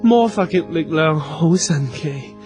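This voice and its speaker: An actor with a Hong Kong Cantonese accent playing a naive, chatty, besotted gentleman in a radio comedy. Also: a little high, lively intonation, measured pace. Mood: earnest, rambling. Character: excitable boyish gentleman besotted with a bossy magic girl. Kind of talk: radio comedy drama acting. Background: music.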